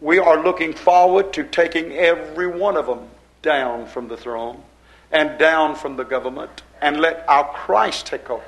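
An older man preaches to his congregation in a folksy, meandering way, circling back to the same phrases again and again. His voice is gravelly, sitting a touch higher than most men's. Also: moderate pace, rough recording. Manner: folksy, rambling preaching with repetition. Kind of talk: sermon. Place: church service before a congregation.

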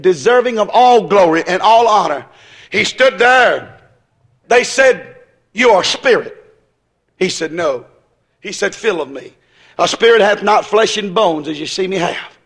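Male preacher, very high-pitched and forceful, folksy and emphatic, delivering an impassioned sermon. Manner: emphatic, repetitive, building phrases into shouts; folksy, colloquial phrasing. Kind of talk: impassioned sermon with acted-out dialogue.

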